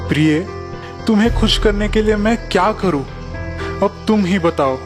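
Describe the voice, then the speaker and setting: A man voicing a poor, kindly fisherman husband in a children's cartoon, gentle and earnest. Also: sits high, normal speed, natural voice. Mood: gentle, puzzled. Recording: reverberant echo under background music.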